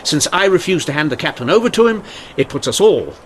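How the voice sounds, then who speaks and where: An actor playing a measured, dryly witty Victorian police inspector in a radio mystery drama. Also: male voice, fairly high pitch, big pitch swings, slight background noise. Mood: grave, urgent.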